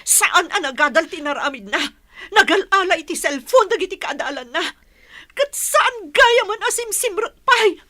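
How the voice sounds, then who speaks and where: A woman's voice: very high-pitched, expressive, moderate pace, pushed and bright, exaggerated voice. A woman acting a hardworking, strict elder sister in an audio drama, reproachful and emphatic in manner. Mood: bitter, upset. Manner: emphatic, scolding.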